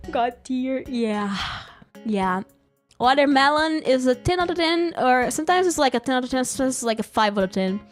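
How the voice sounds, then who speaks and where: A woman's voice: high voice, lively intonation, moderate pace. A young woman, chatting casually and playfully on a live stream, giggling often and rambling. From Brazil. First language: Portuguese. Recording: faint music behind.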